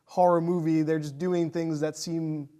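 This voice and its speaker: Higher-voiced man, halting casual reviewer. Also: level tone, warm tone. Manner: hesitant, halting phrasing. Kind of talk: casual film review chat.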